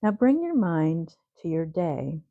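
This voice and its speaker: A woman with a fairly low, very mellow voice guides a sleep meditation. She gives her instructions gently and soothingly. The recording is very dry and slightly dull. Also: very animated, takes it slowly, no room sound.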